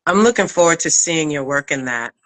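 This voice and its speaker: A woman hosting a casual chat as interviewer and acting teacher, speaking in an expressive, emphatic, slangy way. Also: sits low, a little fast.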